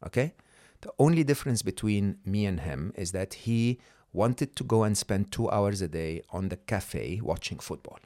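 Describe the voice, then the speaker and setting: Low-voiced emphatic man checking in often. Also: lively intonation, normal speed, hardly any pauses, mellow, dry recording, clean studio sound. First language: not English. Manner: emphatic, checks in with "okay?" and "hmm?". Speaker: podcast guest. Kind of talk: conversational coaching talk.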